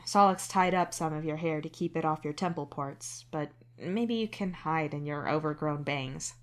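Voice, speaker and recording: A woman reading scripted fiction as narrator, in an introspective, expressive way. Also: lowish voice. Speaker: narrator and author reading own fan fiction.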